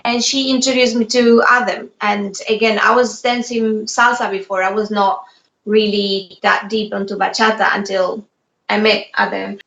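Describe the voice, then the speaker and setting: A woman's voice: medium pitch, steady tone, moderate pace, vocal fry, a bit bright. A young woman from Spain with a Spanish accent, chatting casually as an interview guest. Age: young adult. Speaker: interview guest, dance instructor. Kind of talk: casual chat. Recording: roomy, dull lo-fi audio.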